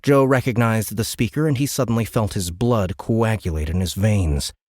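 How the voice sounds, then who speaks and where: A man with a low voice narrates a dramatic science fiction audiobook. His delivery is measured and suspenseful, like scripted storytelling. The recording is close and very dry. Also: darkish tone, dry recording. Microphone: close studio microphone.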